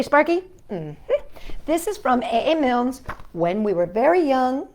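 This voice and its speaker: A woman hosting a children's storytime, reading picture books aloud and singing a call-and-response song with a puppet, in a gentle, sing-song way. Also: fairly high pitch, a little hiss or hum.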